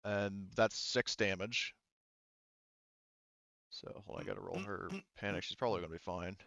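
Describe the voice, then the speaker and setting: A man's voice: low-pitched, steady tone, moderate pace, vocal fry, fairly bright tone, dry recording, lo-fi sound. A man acting as game master, casually thinking aloud as he narrates dice rolls and rulings.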